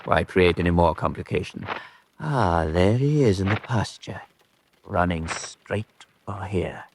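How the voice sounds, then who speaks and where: An actor playing a cold, suave, middle-aged spymaster who poses as a country gentleman, haughty and deliberate, in a radio drama. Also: low-pitched, lively intonation, noisy background. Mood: calm, commanding.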